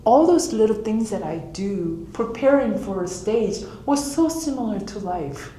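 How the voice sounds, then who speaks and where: A woman's voice: mid-range voice, dark tone, echoey room, a little hiss or hum, rough recording. A woman speaking English with a Korean accent, giving a live author talk and telling personal stories in a reflective way, sometimes acting out her own inner voice.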